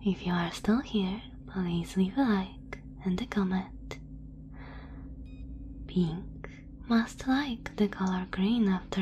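Woman doing a slow asmr whisper, soft and breathy, some noise behind it. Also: mid-range voice. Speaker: ASMR channel creator thanking listeners and patrons.

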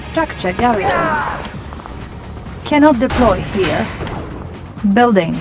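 Crisp military game announcer. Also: female voice, on the high side, lively intonation, slow pace. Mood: businesslike. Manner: crisp, businesslike. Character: female military command announcer reporting battle status. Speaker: battle announcer. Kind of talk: clipped scripted game announcements. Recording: loud music and gunfire behind. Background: loud game music, artillery and gunfire.

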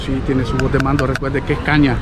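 A man's voice: mid-range voice, completely flat delivery, fast talker. A middle-aged man speaks solemnly and ceremonially as a spiritual guide, explaining ancestral tradition. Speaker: Maya calendar keeper and spiritual guide. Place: outdoors in a large city plaza. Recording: loud outdoor traffic and city noise.

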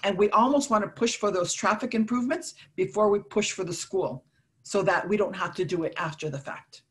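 A woman's voice: medium pitch, a little fast. A middle-aged woman, a community organizer, speaking earnestly in a panel discussion over a video call on an earbud headset. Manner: earnest, thoughtful, with repeated restarts. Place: room at home, orange wall.

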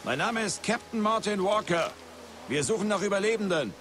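A man's voice: high-pitched, lively intonation, moderate pace, noisy background. A man playing a stern, no-nonsense special forces captain giving orders in a German-dubbed video game. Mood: urgent, calling out. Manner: commanding, no-nonsense. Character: stern, businesslike male special forces captain, squad leader.

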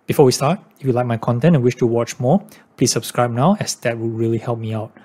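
A man's voice: medium pitch, fairly even intonation, quick pace, warm tone. A man hosting a YouTube video, giving scripted commentary in an informal, matter-of-fact way. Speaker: YouTube channel host on card investing.

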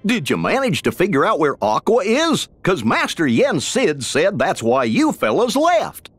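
A good-natured cartoon dog for a game. The voice is a husky, silly drawl with huge swings in pitch. It sounds earnest and simple, recorded dry and close. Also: male voice, wildly swooping pitch, measured pace, dry recording, soft background music, full character voice. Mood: earnest, worried. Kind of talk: animated video game acting.

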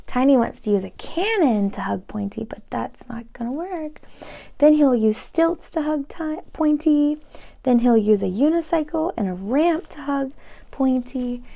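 A woman reading a children's picture book aloud, with gentle asides to young listeners. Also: high voice, gravelly. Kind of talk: read-aloud narration.

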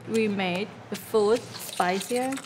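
A woman in her thirties from northeastern Thailand, a restaurant owner and chef speaking simple broken English with a Thai accent in a documentary interview, soft and earnest. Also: mid-range voice, slow, noisy background. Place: indoor restaurant dining room. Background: background music.

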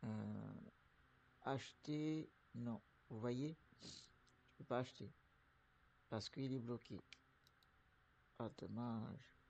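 A man's voice: mid-range voice, drawn-out words, creaky, long pauses, darkish tone, slight background noise. A man with the flu talking his viewers through buying a truck in a game, rambling with many fillers and restarts. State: has the flu. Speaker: streamer playing a trucking game. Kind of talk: casual gameplay commentary.

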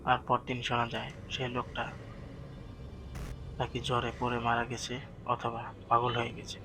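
A man's voice: mid-range voice, completely flat delivery, unhurried, noisy background. A man narrating a scripted horror story in a dramatic, suspenseful way as the storyteller.

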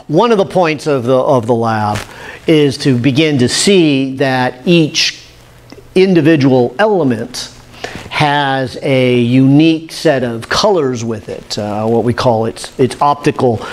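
A man, giving an informal, halting lab demonstration as an instructor, thinking aloud. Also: mid-range voice. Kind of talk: informal demonstration lecture at a whiteboard. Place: indoor classroom lab. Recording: steady room noise, classroom camera audio.

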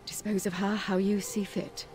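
An actress playing a solemn, grieving but resolute female ally in a video game, serious and deliberate. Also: mid-range voice, little pitch movement, darkish tone, lightly acted.

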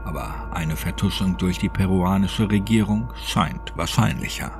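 Male horror narrator, low register, measured and serious scripted read. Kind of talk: scripted audiobook narration of a creepypasta. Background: background music.

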